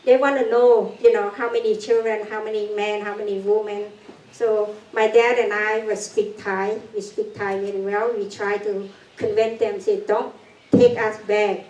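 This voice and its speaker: An older woman from Cambodia with a Cambodian accent, giving a personal testimony talk in halting, broken English with nervous laughs. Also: medium pitch, little pitch movement, darkish tone. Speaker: guest speaker. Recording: echoey room, far from mic.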